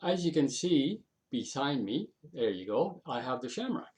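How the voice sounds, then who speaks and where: Male storyteller. Soft-edged, mellow tone; folksy, halting, unscripted delivery. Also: mid-range voice, very animated. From Canada. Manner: halting, folksy, repeats himself.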